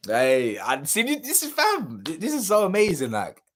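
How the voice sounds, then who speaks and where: A young man, chatting casually on a live stream and reading out viewer comments, slangy and animated, his voice a little hoarse. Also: fairly high pitch, normal speed. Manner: slangy, animated, laughs often, drifts between loud bursts and mumbles. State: slightly hoarse.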